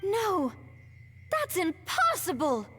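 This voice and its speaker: A woman playing a proud, composed head chef in a video game, speaking in a measured, formal, principled manner. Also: extremely high pitch, wildly swooping pitch, pushed and bright, exaggerated voice. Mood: disbelieving. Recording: game audio with reverb, background music.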